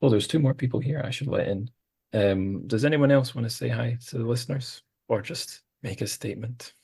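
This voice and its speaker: A man's voice: medium pitch, brisk, gravelly, a little mellow, slightly rough. A man hosting a podcast, chatting casually with guests, rambling and self-deprecating with many restarts. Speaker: podcast host. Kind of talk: casual group chat.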